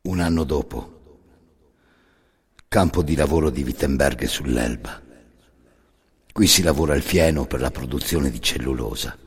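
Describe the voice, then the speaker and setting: A man performing scripted dramatic storytelling as a solo narrator, grave and deliberate, in short phrases with pauses. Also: extremely low pitch, husky. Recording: long reverberant hall.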